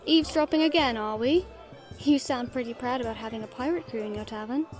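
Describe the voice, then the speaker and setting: A woman playing a friendly female merchant in a fantasy audio drama, gently teasing, caring and encouraging as she talks to the listener. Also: a little high, a little fast, music in the background, close to an everyday voice. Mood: playful teasing.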